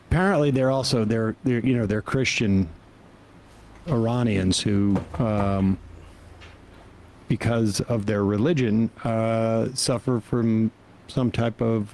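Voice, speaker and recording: A man hosting a livestream and giving reaction commentary, halting and pausing between phrases. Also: medium pitch, fairly even intonation, a little mellow. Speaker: livestream host.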